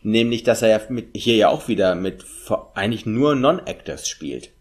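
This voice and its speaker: A man's voice: on the low side, animated, normal speed. A man in his thirties, a native German speaker, co-hosting a casual film podcast, talking in a chatty way with many fillers and tag questions. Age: 30s. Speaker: podcast co-host. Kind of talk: casual film review chat.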